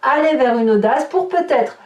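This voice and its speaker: Female tarot host, higher-than-average voice, emphatic improvised guidance with repeated phrases, clearly echoey recording. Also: animated, moderate pace, a little mellow, highs cut off. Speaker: tarot reader, horoscope host. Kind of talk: improvised tarot reading commentary addressed to viewers. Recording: roomy echo, distant microphone.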